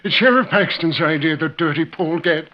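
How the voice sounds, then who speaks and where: A man's voice: a little high, brisk, close to an everyday voice. An actor playing a plain-spoken older rancher and troubled father in an old-time radio western, with a rustic drawl. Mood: bitter. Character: folksy older rancher, grieving father of condemned son. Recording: muffled old radio recording.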